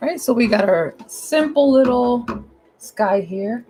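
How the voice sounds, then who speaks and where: Hoarse woman teaching painting casually. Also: on the high side, lively intonation, unhurried, warm tone. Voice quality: hoarse, husky. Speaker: painting instructor. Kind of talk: casual step-by-step art tutorial.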